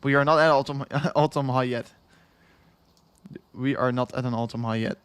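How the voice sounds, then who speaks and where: A man's voice: mid-range voice, fairly even intonation, moderate pace, clean tone, dry recording. Young Dutch-accented man, livestream host, repetitive rambling, worn out, dry close sound. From Netherlands. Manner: rambling, repeats himself, chuckles often, reads chat aloud. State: tired after hours of streaming. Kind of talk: casual livestream chat.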